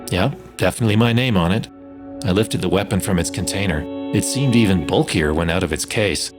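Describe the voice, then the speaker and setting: Music plays behind a man acting as a spaceship pilot with a low voice. He narrates his own science fiction adventure in a measured, dry way, like an audio play. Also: lightly acted. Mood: measured, curious. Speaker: narrator and lead actor. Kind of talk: first-person sci-fi audio story narration.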